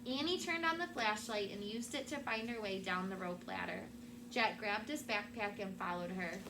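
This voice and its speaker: A woman with a medium-pitched voice reads to children as their teacher. Her narration is expressive and story-like. The recording carries clear noise and room ambience. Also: normal speed. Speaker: classroom teacher.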